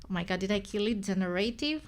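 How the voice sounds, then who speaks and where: A woman's voice: medium pitch, lively intonation, fast talker. Greek-accented woman host, native Greek speaker, loose live-stream chat that wanders and self-corrects. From Greece. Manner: rambling, self-correcting, thinking aloud.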